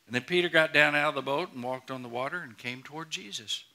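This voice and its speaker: A man's voice: a little high, fast, bright, forceful tone. A middle-aged man preaching a sermon as a pastor, earnest and emphatic, often repeating and restarting phrases. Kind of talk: sermon to a congregation. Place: large church auditorium, on stage before a congregation.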